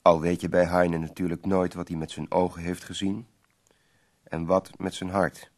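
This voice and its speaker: A thoughtful companion who narrates a radio drama. His voice is low and gravelly, and he speaks with compassion and concern. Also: flat delivery, normal speed, darkish tone. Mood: reflective, concerned. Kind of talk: radio play narration and dialogue.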